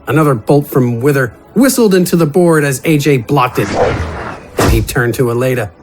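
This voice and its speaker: A man's voice: mid-range voice, lively intonation, moderate pace. A man reading scripted fantasy adventure narration in a dramatic storytelling style. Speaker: narrator and voice actor. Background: music.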